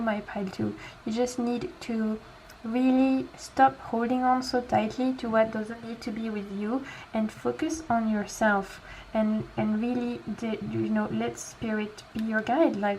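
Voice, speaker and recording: A young woman with a French accent, a French native speaker giving a gentle, reassuring pick-a-pile tarot reading full of casual fillers. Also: a little high, slight background noise, low-quality recording. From France. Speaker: tarot reader.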